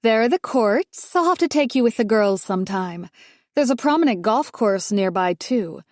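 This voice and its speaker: Audiobook figure: a woman voicing a haughty wealthy older woman, pitched up, syrupy and condescending. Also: animated, moderate pace, darkish tone, no echo, clean studio sound, natural voice. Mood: sweetly condescending. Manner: sugary, condescending, says dear and darling.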